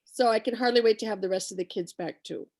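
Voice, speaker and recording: A woman's voice: a little high, fast, a little mellow. A woman teacher chatting at a parents' meeting, enthusiastic and joking. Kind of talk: casual chat.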